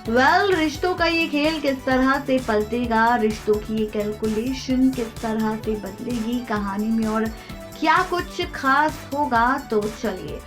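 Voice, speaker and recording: A woman with an Indian accent narrates entertainment news in a fairly high voice. Her scripted delivery is emphatic and dramatic, like a teaser for TV serial updates. Music plays faintly behind her. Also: slow pace. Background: background music.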